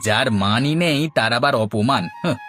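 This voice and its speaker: A henpecked village husband from a cartoon, acted by a man, in a mid-pitched voice. He grumbles drily and placates in a put-upon way. Music sits behind the voice. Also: lively intonation, measured pace, fairly bright tone. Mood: dry, placating. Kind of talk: Bangla cartoon voice acting.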